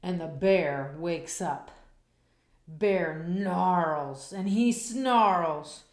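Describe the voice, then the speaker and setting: A woman reading a children's picture book aloud in a slow, expressive, rhythmic way, after a casual spoken intro. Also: fairly low pitch, reverberant.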